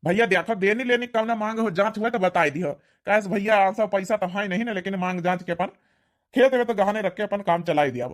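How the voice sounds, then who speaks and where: A man's voice: sits high, a little fast, lightly acted. A man voicing an indulgent, mild village father in a cartoon comedy, with an Awadhi accent and a coaxing, homely manner. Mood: eager, agreeable. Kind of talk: cartoon comedy dialect acting.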